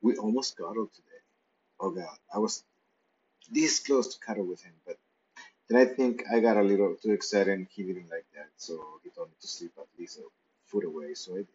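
A man's voice: low-pitched, a little fast, darkish tone, muffled recording, slight background noise. A man narrating a playful, rambling story in the first person from his pet's point of view. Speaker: pet owner narrating.

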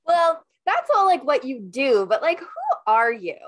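Casual woman podcast host, animated voice, chatty and informal. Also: sits high, unhurried. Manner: upbeat, informal, uses "like". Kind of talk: casual interview hosting.

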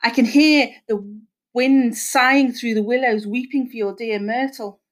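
A woman's voice: fairly high pitch, normal speed, fairly bright tone, lightly acted. A woman voicing a scheming female fake medium who feigns sympathy, pleading melodramatically as if in a trance. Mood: sympathetic, eerie.

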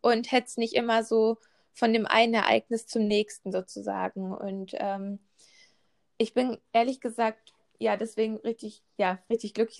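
A woman's voice: fairly high pitch, gravelly, a little mellow. A pregnant woman in her twenties in a casual chat, talking with lots of fillers and restarts. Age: 20s. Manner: chatty, many fillers and restarts.

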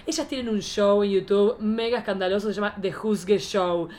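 A woman's voice: mid-range voice, steady tone, moderate pace, a little mellow. A woman with an Argentine Spanish accent hosting a podcast as a drag queen, chatting playfully and theatrically with lots of exclamations. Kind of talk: playful casual podcast chat.

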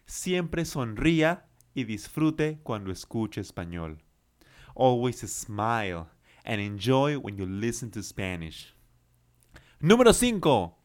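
A man hosting a podcast lesson, reading each line in Spanish and then in English, slow, earnest and encouraging. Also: medium pitch, sing-song. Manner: slow, earnest and encouraging, with emphatic numbered tips. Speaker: language teacher and podcast host.

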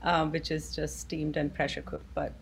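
A woman's voice: deep voice, little pitch movement, normal speed, a little hiss or hum. A middle-aged woman from India, answering interview questions thoughtfully over a video call with frequent ums. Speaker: guest.

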